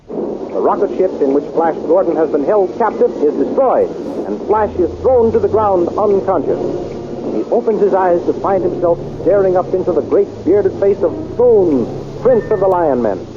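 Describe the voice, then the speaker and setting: A man narrates an old radio adventure serial in a high, dramatic voice. The recording is muffled and lo-fi, with a clear steady hiss behind him. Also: normal speed. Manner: dramatic storytelling.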